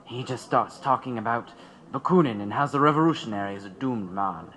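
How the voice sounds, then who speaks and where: A man's voice: mid-range voice, brisk, a bit bright, close to an everyday voice. A man acting in an audio drama as a worried German immigrant radical, speaking in an anxious, hesitant way. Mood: fearful, hesitant.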